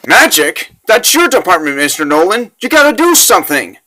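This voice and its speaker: A man's voice: high-pitched, very animated, moderate pace, pushed and bright, voice pushed up, full character voice, poor audio. A man voicing an eager, earnest young child adventurer in a comic dub. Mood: excited.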